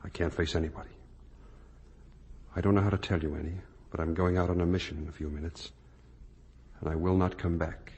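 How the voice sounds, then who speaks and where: An actor playing an earnest, morally outraged young son in 1950s radio drama, with a husky voice and intense delivery. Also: male voice, deep voice, steady tone, a little fast, darkish tone. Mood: grave, aching. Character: earnest, morally outraged grown son confronting his parents.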